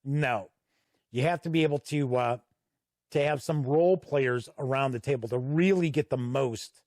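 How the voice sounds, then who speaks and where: Guy doing a casual review walkthrough, kinda high voice, takes his time, really dry sound. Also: no room sound. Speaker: tabletop game reviewer and host.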